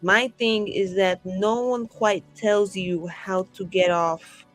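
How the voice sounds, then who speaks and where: Slow, rambling, wry woman roleplayer. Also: medium pitch, steady tone, a bit bright, soft background music. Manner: rambling, slangy, sweary, wry. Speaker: player in a tabletop roleplaying game. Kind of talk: casual improvised roleplay chat.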